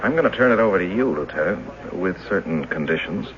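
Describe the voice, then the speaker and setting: An American actor playing an earnest, determined former banker demanding justice in a radio drama, speaking deliberately and formally. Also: male voice, sits low, expressive, a crowd in the background. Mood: insistent. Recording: dull noisy vintage radio drama recording.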